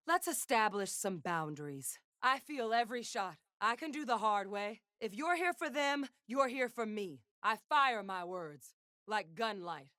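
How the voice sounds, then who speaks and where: A woman's voice: a little high, fairly bright tone, dry recording. An actress playing a resolute, haunted woman sentinel gunslinger back from death in a video game, solemn and commanding with dry wit. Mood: defiant. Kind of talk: video game character voice lines.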